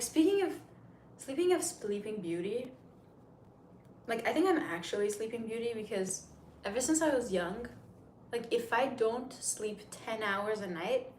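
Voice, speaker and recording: A 30-year-old woman from Turkey, a native Turkish speaker, hosts a casual livestream in English, rambling chattily as she answers viewers. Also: fairly high pitch. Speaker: livestream host. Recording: echoey room with faint noise.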